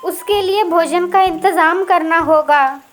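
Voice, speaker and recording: A woman's voice: extremely high pitch, measured pace, soft background music. A woman voicing an anxious, caring mother bird in a children's cartoon, with a plaintive, fretful manner. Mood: worried, helpless. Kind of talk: children's cartoon story acting.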